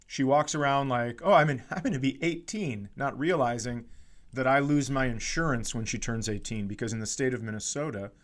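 A middle-aged American man chatting casually as a comedy podcast host, with an animated, profane, ranting manner. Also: mid-range voice, a little fast.

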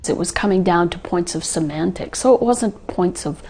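A woman's voice: lowish voice, normal speed, mellow, breathy edge. A woman, a military officer speaking in a news interview.

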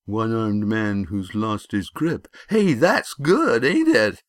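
A man voicing a loud, bullying, heavy-set village boy in a storybook, with rough, taunting speech. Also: medium pitch, animated, slow, no room sound, clean studio sound, voice pushed up. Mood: mocking. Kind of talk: storybook character voice.

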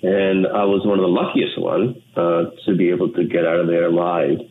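A man from Sri Lanka, an English speaker, talking in an earnest, measured way as an interview guest. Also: sits low, level tone. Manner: measured, earnest, with frequent restarts. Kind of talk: reflective interview talk. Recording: tinny phone line with faint noise.

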